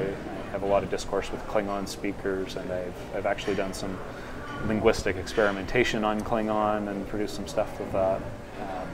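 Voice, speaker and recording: A man co-hosting a casual pub conversation, talking in halting bursts with many fillers and restarts. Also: lowish voice, noisy background. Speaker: podcast co-host and linguist. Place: noisy pub.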